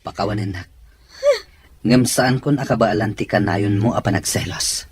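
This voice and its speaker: A man's voice: lowish voice, normal speed, crowd noise, lightly acted. A man playing a defensive young father, fed up with his jealous partner, in an audio drama. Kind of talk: dramatized Ilocano audio story.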